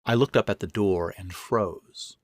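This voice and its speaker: A man's voice: low-pitched, measured pace, no room sound, clean studio sound. A man reading his own first-person horror fiction as narrator, in a dramatic, suspenseful way. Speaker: author and narrator.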